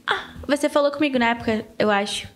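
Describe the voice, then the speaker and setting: A woman's voice: sits high, fast, slight background noise. A Brazilian woman with a Brazilian Portuguese accent, hosting a podcast interview with short, frank interjections. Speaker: podcast host. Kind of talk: casual interview chat.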